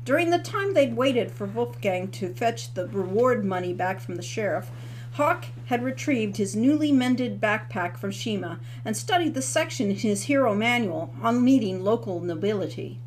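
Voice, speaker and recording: A woman's voice: medium pitch, expressive, normal speed, slight background noise, rough recording. A woman reading her own book aloud into a webcam, with casual asides. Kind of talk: audiobook read-aloud.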